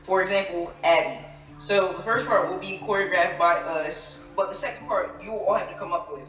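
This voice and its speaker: A woman's voice: lowish voice, a little fast, a bit bright, recorded from a distance, highs cut off, close to an everyday voice. A woman playing a confident, defiant dance mom in an amateur roleplay drama, assertive, recorded echoey and away from the mic. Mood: instructing.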